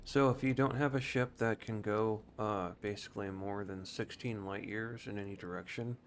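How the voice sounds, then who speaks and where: A man streaming a video game, explaining things in a casual, rambling, unhurried way. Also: on the low side, steady tone. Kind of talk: casual gameplay commentary. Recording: faint background noise.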